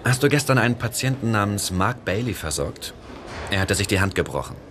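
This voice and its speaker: A man's voice: on the low side, animated, brisk, natural voice. A man playing an anxious policeman who coaxes and hesitates, in a German radio drama. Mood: coaxing. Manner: hesitant, coaxing. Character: anxious, wheedling policeman angling for information. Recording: clear background ambience noise.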